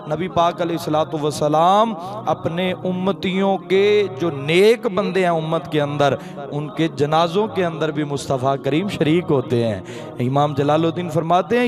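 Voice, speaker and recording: A man preaching a devotional sermon in an emphatic way, repeating phrases for effect. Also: a little high. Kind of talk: devotional sermon with recited couplets and quotations.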